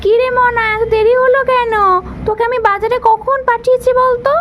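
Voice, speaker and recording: Woman as bossy caring mom doll, lively high voice, bit of background noise, kids story. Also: brisk, dull audio. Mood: worried. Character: bossy, caring village mother of a young girl. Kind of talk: children's doll-play story.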